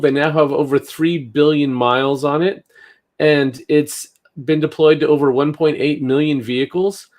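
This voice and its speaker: A man hosting a casual news discussion, talking in a hesitant, think-aloud way. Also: on the high side. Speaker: talk show host.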